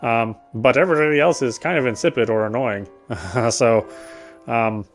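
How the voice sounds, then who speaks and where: A man's voice: mid-range voice, normal speed. A man streaming a visual novel, reading its story aloud and commenting casually. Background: faint game music.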